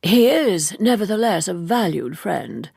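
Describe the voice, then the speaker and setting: A woman reads as a courtly older gentleman of letters in an audiobook. His speech is measured, formal and ornate, with heavy creak and a husky edge. The sound is dry and close. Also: mid-range voice, lively intonation, no echo, full character voice.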